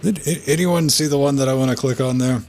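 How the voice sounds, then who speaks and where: A man's voice: mid-range voice, quick pace, a little mellow, soft background music. A man hosting a podcast, rambling casually with joking asides. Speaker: podcast host.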